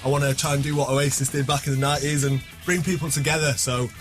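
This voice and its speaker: A man's voice: medium pitch, level tone, fast, music in the background. A man, a band member chatting casually as a guest in a radio studio. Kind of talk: casual radio interview chat.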